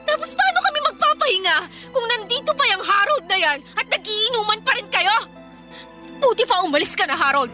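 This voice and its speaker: A woman's voice: very high voice, lively intonation, brisk, sharp tone, soft background music, full character voice. A woman playing a strict, indignant young breadwinner daughter, scolding in a Filipino radio drama. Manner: scolding, confrontational.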